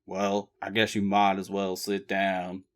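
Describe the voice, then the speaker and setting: A man voicing a big, gruff, protective older father with a deep baritone and a Virginia drawl, speaking in terse grunts. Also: monotone, unhurried.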